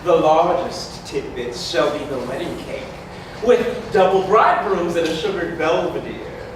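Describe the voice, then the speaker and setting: A man playing a grand, festive epilogue speaker in a wedding masque, declaiming flowery, measured verse on stage. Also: on the high side, distant mic, natural voice. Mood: grandly festive. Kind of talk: theatrical verse staged reading. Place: community hall stage. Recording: echoey hall from afar, clear noise.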